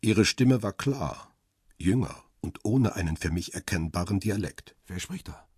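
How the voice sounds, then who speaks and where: Deep husky cynical paparazzo narrator. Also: male voice, animated, unhurried, a little mellow, no echo, studio quality, exaggerated voice. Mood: cool, measured. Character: male paparazzo photographer, cool, cynical, guarded first-person narrator. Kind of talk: first-person crime radio drama narration and dialogue.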